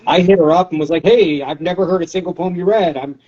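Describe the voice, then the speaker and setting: A man's voice: sits high, fast, warm tone, dull audio. A man in his forties talking casually in an interview, rambling in a wry, self-deprecating way. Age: 40s. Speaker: poetry show organizer.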